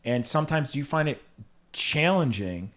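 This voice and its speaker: A man's voice: mid-range voice, lively intonation, moderate pace, fairly bright tone. A man with an American accent hosting a conversational interview podcast. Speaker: podcast host, lawyer.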